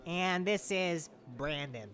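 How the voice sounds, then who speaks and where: Woman as bright, fussy, anxious adventurer. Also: lowish voice, lively intonation, slow, soft background music. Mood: wry. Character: fussy, anxious, sarcastic housemate of an adventuring gang. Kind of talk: comedic audio drama acting.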